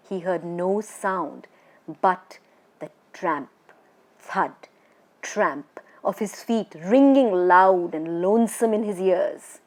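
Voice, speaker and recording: A woman reads a scripted scary story for children as a storyteller, with a dramatic, suspenseful and expressive delivery. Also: medium pitch.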